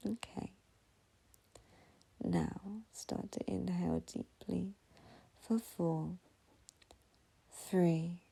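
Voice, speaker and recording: A woman leading a guided meditation in a slow, soothing, gentle half-whisper, breathy and husky, with long pauses between phrases. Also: on the low side, rough recording.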